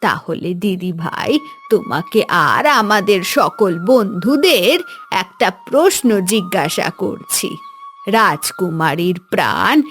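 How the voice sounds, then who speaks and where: Woman acting a slow, lively grandmother storyteller. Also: medium pitch, faint music behind. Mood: kindly. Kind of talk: scripted children's storytelling.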